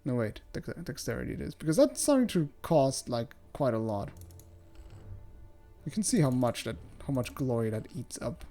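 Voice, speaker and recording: A male streamer from Switzerland chats casually and ramblingly as he plays. His voice is mellow and moves up and down a lot, over faint game music. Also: mid-range voice, big pitch swings, moderate pace. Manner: rambling, chatty, jokey swearing. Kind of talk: casual livestream commentary. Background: soft game music.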